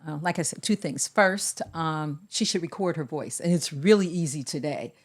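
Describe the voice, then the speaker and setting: A woman co-hosts a podcast in relaxed conversation, her voice sitting slightly low. She talks in a measured, deliberate way, laying out advice step by step. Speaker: podcast co-host. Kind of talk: casual conversation.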